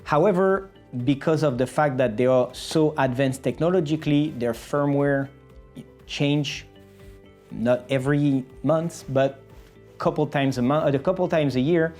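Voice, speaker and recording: Product explainer presenter, medium pitch, methodical step-by-step delivery, music underneath. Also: normal speed, darkish tone. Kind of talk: scripted-sounding product explainer.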